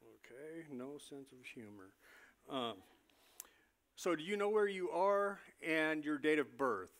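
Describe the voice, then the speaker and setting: A man playing a chatty, jittery doctor in an amateur comic staged reading, speaking in a casual, halting, confiding way. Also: a little high, lively intonation, fairly bright tone. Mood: casual, professional. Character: chatty, jittery male doctor moonlighting as security supervisor.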